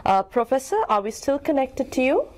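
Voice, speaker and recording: A woman's voice: high-pitched, expressive, normal speed, darkish tone. A woman from Sri Lanka interviewing guests, asking long questions with many hesitant ums and uhs.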